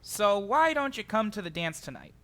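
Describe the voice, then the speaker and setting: Woman acting a bright, excitable teenage boy. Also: medium pitch. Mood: excited, teasing. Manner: brisk, eager, teasing. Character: excitable, cheeky teenage younger brother. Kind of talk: radio drama acting.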